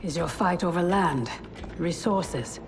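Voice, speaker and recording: A woman playing a sorrowful, resolute warrior in a video game cutscene, speaking in measured, formal phrases. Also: on the low side, slight background noise. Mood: solemn, probing.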